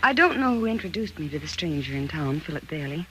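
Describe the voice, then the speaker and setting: A woman's voice: sits low, sing-song, fast, over loud music. An American actress playing a frightened, haunted young woman in a 1940s radio thriller, with intense, melodramatic delivery. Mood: wistful, reflective. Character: frightened, haunted 28-year-old woman recalling her marriage. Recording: noisy vintage 1940s radio recording.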